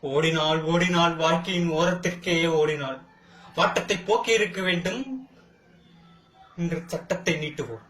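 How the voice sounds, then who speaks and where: A man performs as a wronged brother defending himself in a film courtroom drama. His fairly high voice declaims with defiant, impassioned rhetoric and dramatic pauses. The sound rings with clear room echo. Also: fairly bright tone, soft background music, close to an everyday voice. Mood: indignant. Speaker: amateur actor practising. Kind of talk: theatrical Tamil film courtroom monologue. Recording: echoey room.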